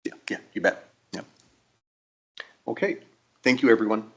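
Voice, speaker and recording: A man presiding over a council meeting, brisk and procedural as he moves through the agenda in a council chamber. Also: lowish voice, breathy edge.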